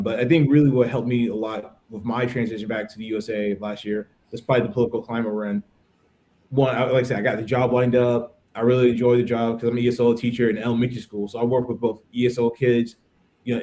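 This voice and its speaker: A man in his thirties with an American accent and a mid-pitched voice. He speaks casually, as in a podcast conversation, and explains things in a chatty, informal way. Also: little pitch movement, fast talker, mellow. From United States. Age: 30s. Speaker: podcast participant, English teacher.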